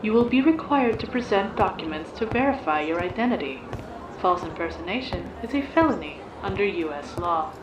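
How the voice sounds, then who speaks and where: A woman voices an official automated announcer at a space-age terminal. She is formal and impersonal, with a mid-pitched voice. The sound is muffled and echoey, with clear noise behind it. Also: animated, fairly quick, natural voice. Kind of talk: scripted public announcement in audio drama.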